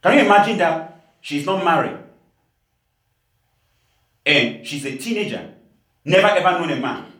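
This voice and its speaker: A man's voice: on the high side, animated, moderate pace, pauses often. Pastor from Cameroon, male, emphatic sermon delivery, echoey church hall. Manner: emphatic preaching, laughing asides, rising to shouts.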